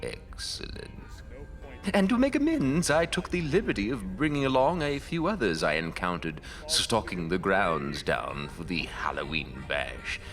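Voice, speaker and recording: A politely sinister undead gentleman from a horror comedy audio play. He speaks very low with a gravelly creak, stiffly formal and deliberate, as music plays behind him. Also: big pitch swings, full character voice. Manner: stilted, overly formal, slow and deliberate. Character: formal, courteous, sinister undead male colleague. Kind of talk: campy horror audio drama.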